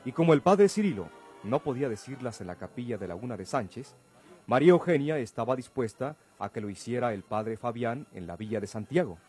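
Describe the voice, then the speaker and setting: A man's voice: mid-range voice, expressive, a little fast, darkish tone, breathy edge, soft background music. A man reading scripted narration for a radio drama.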